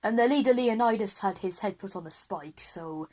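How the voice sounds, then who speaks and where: A woman's voice: mid-range voice, expressive, normal speed, mellow, lightly acted. A woman voicing an eager, unflappable teacher with an explanatory manner, leading a time-travel lesson in a children's audio drama. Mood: lecturing.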